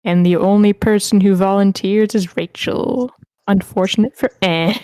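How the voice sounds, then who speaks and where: A woman's voice: mid-range voice, fairly even intonation, moderate pace, mellow, dry recording. A woman giving a casual introduction as host of an online table read.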